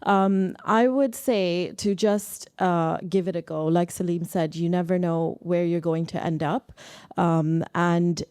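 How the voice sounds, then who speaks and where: A woman researcher giving advice on a live panel, speaking in a measured way with pauses mid-thought. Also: fairly low pitch, steady tone, darkish tone, clean tone, no room sound, studio quality. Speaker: panelist, researcher and founder of a science network.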